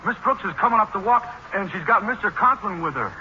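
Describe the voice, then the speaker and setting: A man's voice: sits high, animated, fast, pushed and bright, heavily acted. An actor playing an eager, simple teenage boy in a 1940s radio sitcom. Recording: dull, hissy 1940s radio recording.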